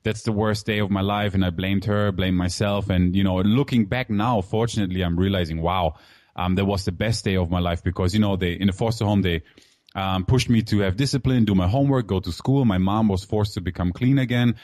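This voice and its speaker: A man in his thirties who grew up in Germany, talking through his life story, candid and loose, full of "you know" and "man." His voice sits low, and the sound is close and dry with no room around it. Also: a little fast, a little mellow, no echo. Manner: candid, filler-heavy, calls host "man". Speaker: guest entrepreneur. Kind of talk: casual interview storytelling.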